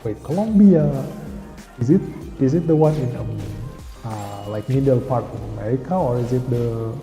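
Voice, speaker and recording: Dark-voiced man rambling on stream. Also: medium pitch, moderate pace. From Indonesia. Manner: rambling, often loses the thread. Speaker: game streamer. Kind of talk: casual live-stream game commentary. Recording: roomy echo with long reverb, faint music.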